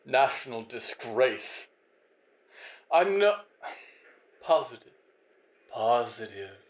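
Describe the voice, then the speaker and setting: A man's voice: mid-range voice, dull audio. A man voicing a vain, fallen corporate diva, a woman who rambles theatrically in an audio drama monologue. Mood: self-pitying.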